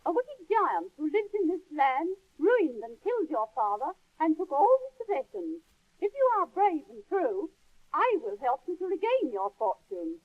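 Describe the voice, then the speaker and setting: A man voicing a beautiful, kind fairy woman in a storybook reading, speaking in a gentle, lilting way. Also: very high-pitched, very animated, moderate pace, phone-quality sound, pitched above the performer's own voice. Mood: earnest.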